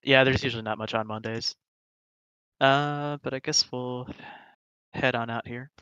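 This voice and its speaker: A man's voice: mid-range voice, steady tone, measured pace, sharp tone, clean tone, dry recording, dull audio. A man with a Wisconsin accent, a commentator wrapping up a broadcast in an easygoing, rambling, self-deprecating way. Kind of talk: casual broadcast sign-off.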